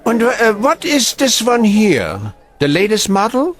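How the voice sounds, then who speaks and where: An actor playing a bitter, scornful old man who mocks a young agitator, his delivery slow and swinging widely in pitch. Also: wildly swooping pitch, a bit bright, faint music behind, exaggerated voice. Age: older. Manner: scornful, cutting, mocking.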